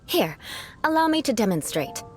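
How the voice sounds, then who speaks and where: A woman's voice: on the high side, sing-song, moderate pace, darkish tone. A woman voicing a proud young aristocratic swordswoman in an anime game, speaking formally and with haughty composure. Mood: lecturing, formal. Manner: haughty, formal, precise wording. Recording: game music behind the voice.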